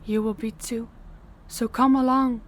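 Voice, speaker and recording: A woman playing a dominant, stern mafia boss in an audio roleplay, speaking formally and deliberately with authority. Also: a little high, steady tone, a little hiss or hum, lightly acted. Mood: cold, menacing.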